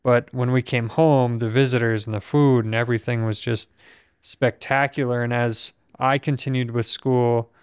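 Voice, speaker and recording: A young man from Minnesota talks in a steady, reflective way. His voice is smooth and clean, with little rise and fall and not much breath behind it. The recording is dry and close to the mic. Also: mid-range voice, moderate pace, dry recording. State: weak breath support. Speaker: podcast host. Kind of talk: casual conversation.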